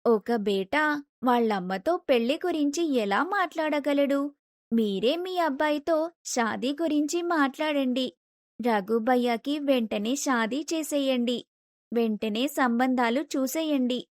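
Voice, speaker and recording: Woman acting high-voiced meddling North Indian daughter-in-law. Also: unhurried, dry recording, studio quality. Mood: coaxing. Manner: mixes Hindi words into speech.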